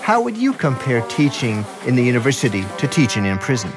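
A man's voice: medium pitch, wildly swooping pitch, brisk, music in the background. A man narrating a radio documentary and interviewing as its host.

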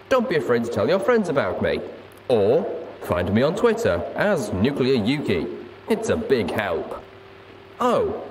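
Male podcast host, low, expressive scripted intro, reverberant with background noise.

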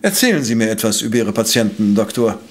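An actor playing a shrewd, self-assured professor who investigates crimes in a German audio drama, with formal, elaborate phrasing. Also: male voice, fairly low pitch, big pitch swings, quick pace. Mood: probing. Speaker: detective professor.

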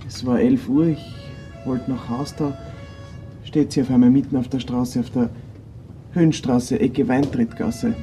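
A man acts out a subdued young suspect in a crime radio play. His voice is mid-pitched and very mellow, over faint music. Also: fairly even intonation, measured pace, lightly acted. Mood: subdued confession. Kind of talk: naturalistic radio crime drama acting.

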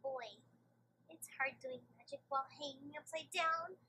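A woman voicing an excitable little cartoon pony girl in a squeaky, childlike voice. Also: moderate pace, a little hiss or hum. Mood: excited.

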